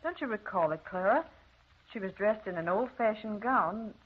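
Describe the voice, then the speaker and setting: An actress playing a wide-eyed, slangy gossip in an old radio drama, folksy and excitable. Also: female voice, medium pitch, normal speed, breathy edge. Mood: excited gossip. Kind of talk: 1940s radio drama acting. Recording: muffled old radio recording.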